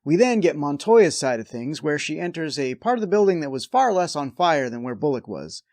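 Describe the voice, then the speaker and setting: A man's voice: a little high, sing-song, a little fast, darkish tone, no echo. A man hosting a review show, delivering scripted comedic recap narration with a wry, sarcastic edge.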